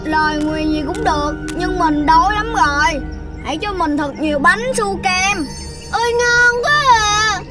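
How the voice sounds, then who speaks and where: An actress voicing a small, excitable, childlike animal friend in a children's cartoon. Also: female voice, very high-pitched, measured pace. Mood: whiny, sleepy. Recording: distant voice under loud music.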